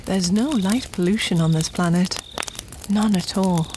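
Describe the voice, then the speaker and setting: A woman playing a calm, knowledgeable expedition researcher in an audio drama, measured and dryly matter-of-fact. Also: mid-range voice, lightly acted. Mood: wry. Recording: insect-filled jungle noise behind.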